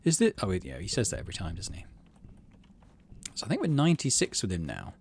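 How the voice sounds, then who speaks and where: A man's voice: sits low, wildly swooping pitch, quick pace, vocal fry, slight background noise. A man hosting a game playthrough, switching between casual commentary and reading story text aloud. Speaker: gaming channel host.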